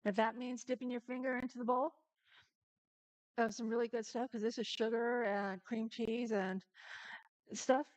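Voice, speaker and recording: A woman's voice: fairly high pitch, flat delivery, normal speed, long pauses, no echo. A woman hosting a casual live-stream cooking demo, chatting straight to her viewers and laughing easily.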